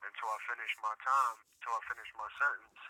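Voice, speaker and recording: A man's voice: mid-range voice, fairly even intonation, fast, a loud crowd. An American man speaking over a telephone, giving an earnest conversational account peppered with "you know". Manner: repeatedly says "you know". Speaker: prison inmate being interviewed by phone. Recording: sharp prison phone line.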